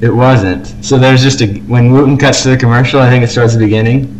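A man's voice: medium pitch, steady tone, quick pace, a little mellow. A man co-hosting a casual fan podcast, talking about things he likes with effusive enthusiasm. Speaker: podcast co-host. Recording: noisy amateur podcast recording.

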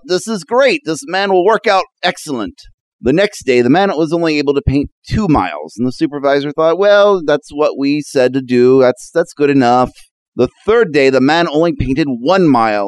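A man's voice: fairly high pitch, no echo, rough recording. A man in a showman-like announcer role, delivering scripted jokes and event promotion. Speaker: guest announcer and joke teller.

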